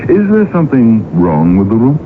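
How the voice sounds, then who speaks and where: Deep-voiced, jealous portrait painter. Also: male voice, wildly swooping pitch, normal speed, phone-quality sound. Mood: polite, curious. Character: proud, jealous portrait painter, lovelorn and indignant. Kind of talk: 1980s radio drama acting. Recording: noisy band-limited old radio broadcast recording.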